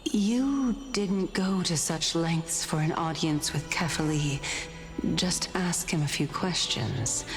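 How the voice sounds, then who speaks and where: A woman's voice: low voice, expressive, takes it slowly, faint music behind. An actress playing a poised, enigmatic and lofty woman in a video game, speaking in a cool, formal, knowing way. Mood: knowing, probing. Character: poised, enigmatic woman questioning a human loftily. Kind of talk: video game story acting.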